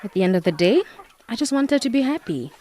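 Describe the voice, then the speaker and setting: A woman's voice: a little high, very animated, fast talker, mellow, a little hiss or hum. A woman with a southern African accent playing a self-doubting, traditional middle-aged single mother in a radio drama. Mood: wistful.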